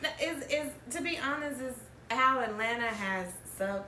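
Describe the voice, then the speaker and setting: A woman's voice: sits high, lively intonation, brisk, sharp tone, a little hiss or hum. A woman, telling personal stories as a podcast host, chatty and laughing often. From Alabama, US. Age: 30s. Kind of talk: candid storytelling chat. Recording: roomy, distant mic.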